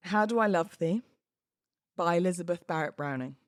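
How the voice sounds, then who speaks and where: Woman, British accent, slightly low pitch, podcast presenter, expressive informal delivery, very dry recording. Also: normal speed, no echo. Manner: chatty, informal, giggly. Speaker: podcast host. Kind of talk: casual podcast chat with dramatic poetry readings.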